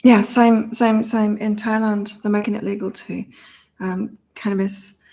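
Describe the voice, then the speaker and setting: Middle-aged woman, from the UK, flat even delivery, rambling live chat host. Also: medium pitch, unhurried, gravelly. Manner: rambling, thinks aloud, repeats herself. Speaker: psychic livestream host. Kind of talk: casual live chat reading viewer comments.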